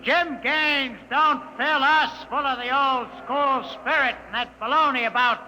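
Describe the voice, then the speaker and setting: High-pitched, forceful rabble-rousing old engineer. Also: male voice, steady tone, measured pace, heavily acted. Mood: bitter, rousing. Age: older. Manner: haranguing, folksy, rabble-rousing. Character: resentful old road engineer agitating a strike meeting. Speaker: actor. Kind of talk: 1950s radio drama acting. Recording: echoey hall, noisy old radio recording.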